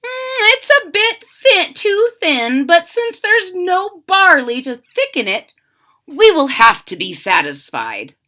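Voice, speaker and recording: A woman voicing a sly, cheerful male soldier in a read-aloud storybook, with a slow, savoring delivery. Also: very high voice, huge pitch swings, bright, forceful tone, voice pushed up, heavily acted.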